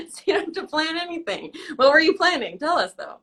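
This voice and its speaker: A young woman hosts a casual live-stream interview with a bright, ringing voice. She teases her guest playfully and keeps breaking into laughter. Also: high voice, sing-song, normal speed. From Canada. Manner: teasing, laughs often. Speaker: host.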